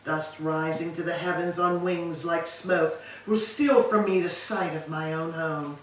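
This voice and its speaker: A woman's voice: lowish voice, fairly even intonation, a little slow, natural voice. Woman playing grief-stricken aged queen, echoey hall. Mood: lamenting. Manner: declamatory, lamenting. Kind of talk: staged reading of Greek tragedy. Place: church, live staged reading. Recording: echoey hall, distant mic, background noise.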